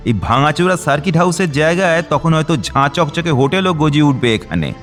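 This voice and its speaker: Hectoring, hot-tempered boss, swinging pitch. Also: male voice, expressive, fast talker, fairly bright tone, soft background music. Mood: indignant. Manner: hectoring, sneering. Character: domineering, hot-tempered male project official, scornful boss. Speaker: actor in a radio audio drama. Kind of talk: Bengali radio drama acting.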